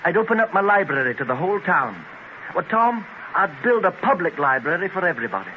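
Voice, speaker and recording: An earnest, ambitious lad in an old radio play. His voice sits fairly high and he argues his case with conviction. The muffled 1930s recording is thick with noise. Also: big pitch swings, fairly quick. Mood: earnest, confident. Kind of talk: 1930s radio drama acting.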